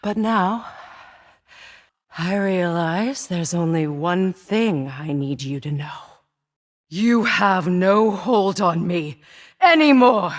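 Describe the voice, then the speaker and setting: An actress playing a proud, wounded, vengeful Norse goddess queen in a video game, speaking with imperious, deliberate intensity. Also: lowish voice, expressive. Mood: vengeful fury. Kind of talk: dramatic video game acting.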